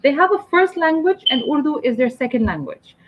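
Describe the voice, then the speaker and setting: A woman's voice: sits high, expressive, brisk. Patient woman tutor on a muffled call. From Pakistan. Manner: patient, explaining step by step with frequent "right?" checks. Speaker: English tutor. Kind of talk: one-on-one online tutoring.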